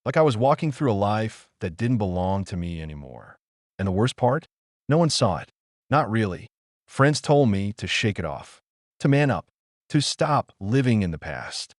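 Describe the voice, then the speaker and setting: A man playing a wounded, introspective man haunted by his past, delivering a solemn, deliberate dramatic monologue in measured phrases. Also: lowish voice, sing-song, no room sound, clean studio sound. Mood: bleak, heavy. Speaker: actor performing a one-man audio play.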